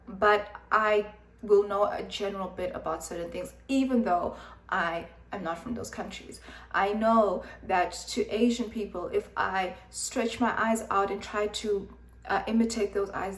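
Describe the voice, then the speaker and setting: A young woman from Zimbabwe who grew up in South Africa, giving frank, emphatic opinion commentary with many fillers. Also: mid-range voice. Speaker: vlogger. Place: room with background noise. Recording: echoey room, voice from a distance.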